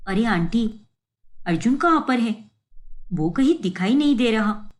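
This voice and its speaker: A woman voicing a polite, sweet young woman with an agreeable manner in an animated family story. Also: fairly high pitch, unhurried. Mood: pleased, polite. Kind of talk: animated moral story acting.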